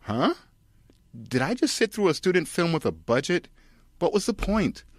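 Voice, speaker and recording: A man's voice: sits high, very animated, normal speed, no room sound, clean studio sound, pitched above the performer's own voice. A man voicing a baffled, irritated moviegoer, incredulous and snarky, in a comic script reading.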